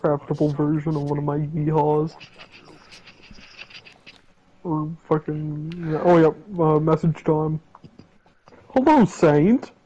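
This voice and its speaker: A man's voice: a little high, takes it slowly, pauses often, warm tone. A man chatting casually and jokingly while playing a game with a friend, in slightly lo-fi sound. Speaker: co-player on a game stream. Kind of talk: casual chat.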